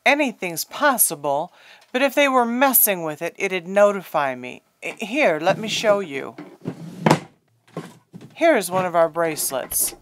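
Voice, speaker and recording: A woman's voice: fairly low pitch, very animated, a little slow, slight background noise. A woman playing a helpful, matter-of-fact probation officer in a radio drama, speaking casually. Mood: helpful, easygoing.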